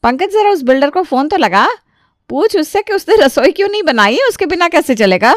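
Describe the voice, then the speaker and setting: A woman's voice: sits high, very animated, brisk, no echo, pitched above the performer's own voice. A woman acts out an older Indian family matriarch from a cartoon story, fussy and commanding. She chats and gives orders as head of the house, in a very dry, close recording. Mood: puzzled, demanding. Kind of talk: animated Hindi family story dialogue.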